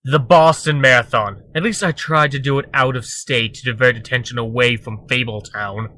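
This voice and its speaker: Casting: male actor as glib rogue, mid-pitched with a sharp bright tone, defensive and cocky, comic drama. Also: normal speed, dry recording, close to an everyday voice. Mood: cocky, indignant. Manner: glib, self-justifying. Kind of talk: comic dub dramatic acting.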